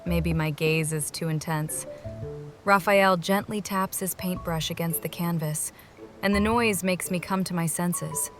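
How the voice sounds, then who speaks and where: A woman's voice: fairly low pitch, fairly even intonation, unhurried, soft background music. A woman reading a scripted first-person game story, moving between narration and dialogue. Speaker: voice-over reader of a game story.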